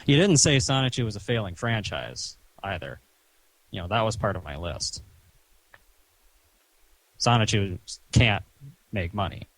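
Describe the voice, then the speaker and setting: A man talking on a recorded phone call in a stern, chiding, halting way, padding his sentences with "you know". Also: fairly low pitch, big pitch swings, a bit bright, no echo. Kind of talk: phone conversation, reproachful lecturing.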